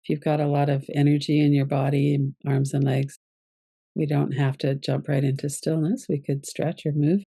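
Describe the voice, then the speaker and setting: Mellow, creaky-voiced woman guiding relaxation. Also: low voice, no echo. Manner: calm, gentle, unhurried instruction. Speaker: yoga nidra teacher. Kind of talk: guided relaxation meditation.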